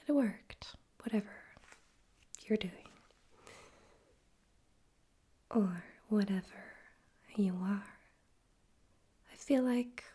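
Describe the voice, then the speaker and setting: A woman voicing a suave, amused, menacing male demon overlord in a breathy whispered ASMR roleplay, slow and condescending. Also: mid-range voice, warm tone. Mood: coolly threatening. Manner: slow, condescending, teasing, deliberate.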